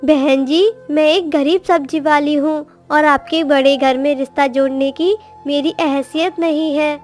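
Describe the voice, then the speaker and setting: A woman voicing a poor, caring mother bird in a moral-story cartoon, speaking in a gentle, earnest, affectionate way. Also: sits high, fairly even intonation, unhurried, faint music behind. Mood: humble.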